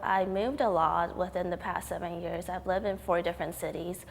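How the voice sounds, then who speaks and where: Low, gravelly young woman in a reverberant hall. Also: normal speed. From Taiwan. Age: young adult. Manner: relaxed, frequent ums and likes. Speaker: student artist interviewee. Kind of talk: casual interview talk. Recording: reverberant hall with faint noise.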